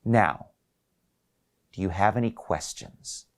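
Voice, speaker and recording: A man's voice: on the low side, takes it slowly. A man voicing a detached, officious female call agent who speaks in formal, bureaucratic phrases in a short story. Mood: firm, scripted. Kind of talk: acted short story dialogue.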